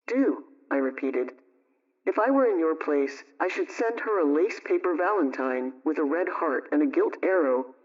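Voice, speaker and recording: A woman playing a brisk, motherly homemaking adviser, telling a scripted radio story in an emphatic, instructive way. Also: low-pitched, animated, far from the mic, muffled recording. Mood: emphatic, coaxing.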